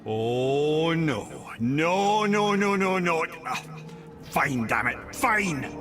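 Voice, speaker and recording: Lively, theatrical old storyteller head. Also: male voice, a little high, drawn-out words, soft background music, exaggerated voice. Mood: dismayed. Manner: theatrical, eloquent raconteur with wry asides. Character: talking severed head of a wise, witty old storyteller. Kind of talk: video game storytelling and dialogue.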